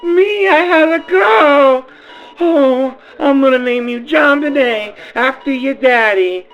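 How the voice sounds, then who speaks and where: A man's voice: extremely high pitch, takes it slowly, lo-fi sound, soft background music, exaggerated voice. A man voicing a fawning, scheming young housewife in a campy comedy radio play, gushing and stretching out words theatrically. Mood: overjoyed, shrieking.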